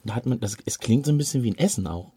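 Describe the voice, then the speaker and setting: Casting: husky male co-host, lively pitch, joking, casual chat. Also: rapid-fire, dry recording. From Dortmund. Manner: joking, playful. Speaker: podcast co-host.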